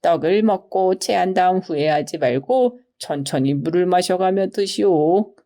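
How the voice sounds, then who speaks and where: A woman's voice: lowish voice, a little slow, dark tone. Woman voicing a crafty, pompous traveler posing as physician. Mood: confidently bluffing. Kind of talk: storybook character reading.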